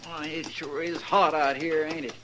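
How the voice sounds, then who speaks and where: A man's voice: fairly high pitch, flat delivery, normal speed, natural voice. A man with a rural American accent playing a chatty, naive deputy sidekick in an old radio western. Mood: folksy. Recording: noisy old radio recording.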